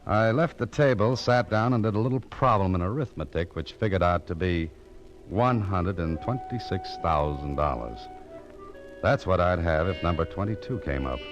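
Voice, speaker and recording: An actor playing a world-weary, wry American private detective in a deadpan radio noir style. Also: male voice, low-pitched, moderate pace, music in the background, lightly acted. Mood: wry suspense. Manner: dry, deadpan, wisecracking. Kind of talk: 1940s-50s radio noir narration and dialogue. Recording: muffled vintage radio recording.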